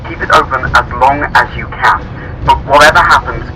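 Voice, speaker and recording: A man's voice: mid-range voice, moderate pace, close to an everyday voice, low-quality recording. A man playing an anxious, stubborn space station chief in a fan audio drama. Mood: tense. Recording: music behind radio-play voice.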